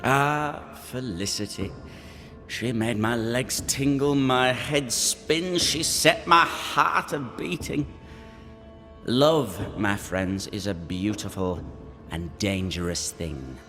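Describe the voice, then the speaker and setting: A man voicing the flirtatious, deluded ghost of a sickly, lovesick gentleman in a theatrical, singsong video game performance. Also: medium pitch, takes it slowly. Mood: lovesick, wistful. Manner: theatrical, singsong, repeating phrases. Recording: long echoing reverb, music underneath.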